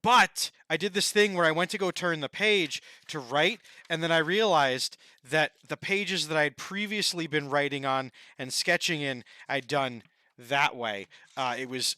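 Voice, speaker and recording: A man's voice: mid-range voice, bright, forceful tone, no echo. A man co-hosting a gaming podcast, chatting casually in a rambling, playful way. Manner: rambling, playful, quick to laugh. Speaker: podcast co-host.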